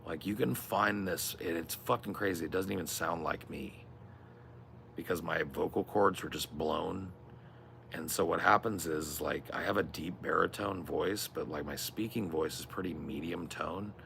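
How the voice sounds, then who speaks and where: A man with a strained, raspy voice chatting casually on a stream, rambling and swearing a lot. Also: low-pitched, normal speed, a bit bright, a little hiss or hum. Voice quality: gravelly. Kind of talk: casual chat.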